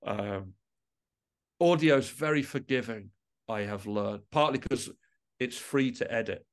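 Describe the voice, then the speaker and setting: A man's voice: medium pitch, a little slow. A man speaking as a musician guest in a casual podcast interview, with an informal, self-deprecating and enthusiastic way of talking.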